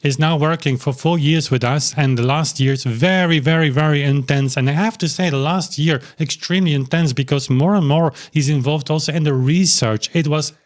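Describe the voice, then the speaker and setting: A man's voice: on the high side, normal speed, few pauses. Rambling man talking to camera. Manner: rambling, with many restarts and fillers. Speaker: YouTube channel host. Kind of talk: casual talk to camera. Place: small cozy home studio.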